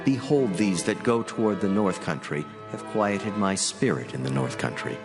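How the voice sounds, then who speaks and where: A man playing a solemn angel of God in a dramatized scripture reading, speaking slowly and gravely in a declamatory way. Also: low-pitched, animated. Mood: commanding. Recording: long cavernous reverb over loud music.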